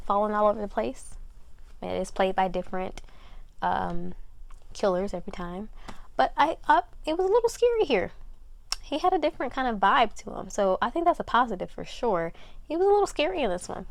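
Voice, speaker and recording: Woman doing a chatty review, mid pitch, kinda hedgy, repeats stuff for emphasis, no echo. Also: vocal fry. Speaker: film reviewer. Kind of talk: casual review chat.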